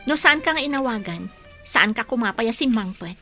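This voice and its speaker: A woman's voice: a little high, lively intonation, moderate pace, sharp tone, faint music behind. A woman with an Ilocano accent acting a watchful, questioning wife and mother in a radio comedy-drama, pointed in manner. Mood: suspicious.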